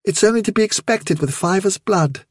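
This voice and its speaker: A storybook mother rabbit played by a man spins a fairy tale for her young. The voice is raised high and lilting, with a slightly husky grain and swooping melody. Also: lively intonation, moderate pace, no echo, clean studio sound. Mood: fond, explaining.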